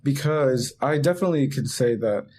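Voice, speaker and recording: A man from Texas and Tennessee who is a guest on an interview, talking in an animated, candid way with easy laughter. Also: medium pitch, moderate pace, husky. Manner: animated, candid, laughs easily, many fillers. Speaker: guest, photographic artist. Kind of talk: casual interview talk.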